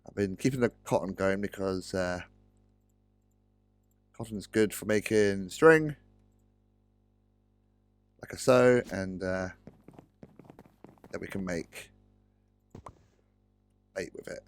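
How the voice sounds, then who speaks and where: A British man with a slightly croaky voice, rambling casually through gameplay commentary and correcting himself as he goes. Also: sits low, slow, pauses often, dry recording. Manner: rambling, self-correcting, chatty. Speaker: Let's Play host. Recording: dry close-mic recording with faint music.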